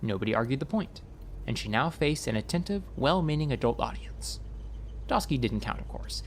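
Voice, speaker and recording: A man narrating a scripted audiobook story in a wry, expressive storytelling manner. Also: medium pitch, normal speed, slight background noise.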